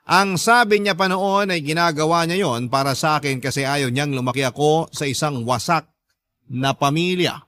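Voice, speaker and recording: A man's voice: on the high side, normal speed, fairly bright tone, dry recording, studio quality. A man with a Filipino accent narrating a scripted, dramatic first-person story as a radio drama host.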